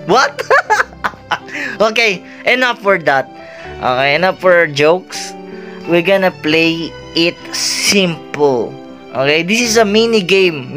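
A vlogger with a Filipino accent talks through a game at an easy, drawn-out pace. The speaker giggles and cries out on hits, and clear game music plays underneath. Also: mid-range voice. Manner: playful and giggly, yelps while dodging. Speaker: gaming vlogger. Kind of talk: casual mixed Tagalog-English gameplay commentary.

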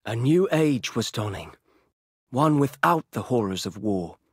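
An actor playing a solemn chronicler of war, narrating a video game story in a measured, grave and husky voice. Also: male voice, medium pitch, big pitch swings, no echo, clean studio sound. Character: solemn male chronicler recounting a war's aftermath.

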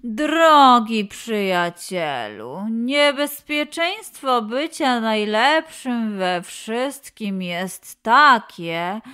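A woman playing a drowsy, wise male sloth in a children's story, drawing out the words slowly. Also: on the high side, sharp tone, clean tone, heavily acted. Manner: slow, drawn-out. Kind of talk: children's audio story acting. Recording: music under the voice.

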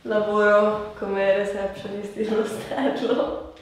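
A woman's voice: mid-range voice, level tone, slow pace. Young woman, distant and very echoey. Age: young adult. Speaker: patient. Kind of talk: casual chat during treatment. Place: small bare treatment room, indoors. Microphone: camera a few steps away. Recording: very echoey room, distant mic, light noise.

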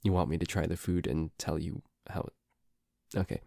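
A man's voice: sits low, flat delivery, moderate pace, vocal fry, a little mellow, dry recording, studio quality. A man playing a flustered, grumbling but secretly affectionate boyfriend in an ASMR roleplay, stammering and grudging as he talks. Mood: hesitant, grudging. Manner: stammering, hesitant, grudging, sweary.

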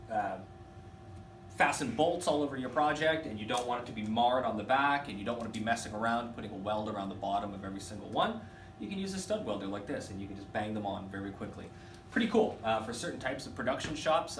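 A man's voice: on the low side, noisy background. Casual man in a roomy workshop. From Canada. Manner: relaxed, chatty, hands-on while demonstrating. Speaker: product demonstrator for a welding company. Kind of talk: casual product demo. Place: workshop with welding equipment, indoors. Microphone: camera mic from a few feet away. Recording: roomy workshop echo from a distance.

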